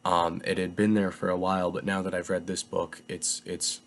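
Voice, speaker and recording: A young man talks through a book review in a low, steady, even-toned voice. He is getting over a cold and rambles, pausing often on filler words. Faint noise hums behind him.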